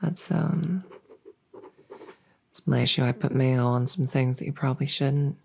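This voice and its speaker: Woman acting a silly female monster under the bed, low creaky voice, hesitant rambling, bungling scarer, ASMR audio roleplay. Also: measured pace, darkish tone, slight background noise, full character voice. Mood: chatty, confiding. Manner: rambling, stammering, earnest and chatty, trailing off. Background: writing sounds.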